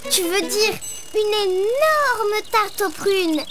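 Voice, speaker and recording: A woman voicing an eager, excitable little childlike sidekick in a preschool cartoon, with singsong exclamations. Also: extremely high pitch, slow pace, over loud music, heavily acted. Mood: delighted.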